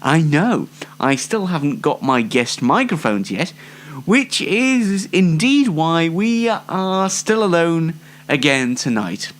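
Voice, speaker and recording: Casting: British man, 20, solo show host, dry and rambling, with swooping intonation and slow delivery. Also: a little high. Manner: dry, tongue-in-cheek, rambling. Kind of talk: informal solo show presenting.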